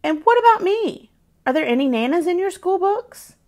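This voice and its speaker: Storybook grandma, read by a woman: high, lifted voice, affectionate, coaxing, teasing. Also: sing-song, normal speed, a little mellow, heavily acted. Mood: mock concern.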